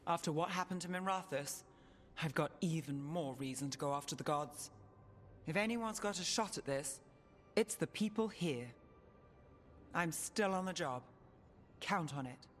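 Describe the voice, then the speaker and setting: A woman's voice: low-pitched, normal speed, long pauses, lightly acted. Long reverb surrounds a woman playing a weary, determined fighter in a fantasy game. The voice is guarded, with faint music behind it. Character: guarded, battle-worn fighter loyal to the cause. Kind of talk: video game voice acting.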